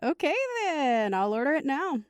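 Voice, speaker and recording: High-voiced, caring mother. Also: animated, moderate pace, no room sound, clean studio sound. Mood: cheerful. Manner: chatty, reassuring. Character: caring, upbeat middle-aged mother of teenagers. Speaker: actor in an audio drama. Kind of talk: naturalistic audio drama acting.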